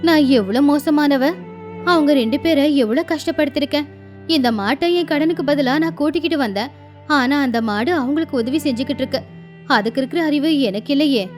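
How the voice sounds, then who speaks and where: Woman acting a high-voiced stern crow moneylender. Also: moderate pace, a little mellow, faint music behind, close to an everyday voice. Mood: remorseful. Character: stern female crow moneylender, scolding, later remorseful. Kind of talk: cartoon moral story acting.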